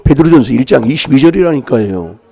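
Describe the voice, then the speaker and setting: A man's voice: mid-range voice, expressive, fast talker, vocal fry, warm tone, breathy edge. A man preaching an emphatic sermon in a church, prodding his listeners with rhetorical questions while he has a cold. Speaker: pastor.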